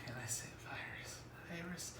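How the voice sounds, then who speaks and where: A man hosting a casual comic book review vlog, talking haltingly with pauses mid-sentence and wry, self-aware asides. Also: noisy background. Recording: echoey room, music underneath.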